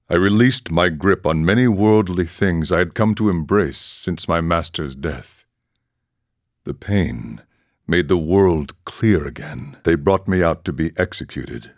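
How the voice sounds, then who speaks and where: Really low voiced old-world warrior monk for a game, ex chieftain, solemn n measured storytelling, super dry close sound. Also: male voice, no echo. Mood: grim, calm. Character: weathered, philosophical male warrior monk, former chieftain. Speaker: video game voice actor. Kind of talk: scripted dramatic storytelling monologue.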